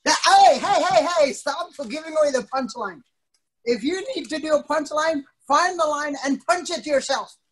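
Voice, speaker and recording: A middle-aged man telling a playful story to children as their instructor, theatrical, with drawn-out emphasis and pauses. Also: extremely high pitch, expressive, fairly bright tone, poor audio. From an island country. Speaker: martial arts instructor telling stories to children. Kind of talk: playful interactive storytelling for kids. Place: indoor wood-panelled studio, on a video call.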